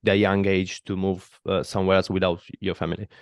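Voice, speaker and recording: A man from Italy with an Italian accent, hosting a podcast interview, speaking haltingly in English with many restarts and fillers. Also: low-pitched, level tone, smooth, no echo. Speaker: podcast host. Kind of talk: casual interview conversation.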